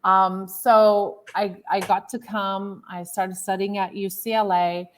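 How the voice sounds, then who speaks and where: A woman's voice: mid-range voice, level tone, slow. A woman from Turkey in her thirties, a guest coach telling her life story in a loose, chatty way with many fillers. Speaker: guest, author and transformational coach. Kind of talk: casual interview chat.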